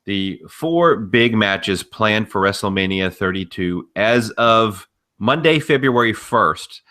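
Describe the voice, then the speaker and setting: A man with a fairly low, very bright and forceful voice talks through wrestling news as a podcast co-host. His delivery is casual but blunt and opinionated, with profanity thrown in freely. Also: lively intonation, slow pace.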